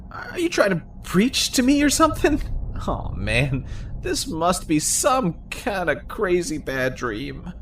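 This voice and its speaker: Male actor as defensive young slacker dude, audio drama: slangy, drawling pace, very animated pitch. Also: heavily acted. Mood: bewildered, defensive. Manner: slangy, incredulous drawn-out delivery. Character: bewildered, defensive young slacker guy, twenty-two. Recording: faint background noise.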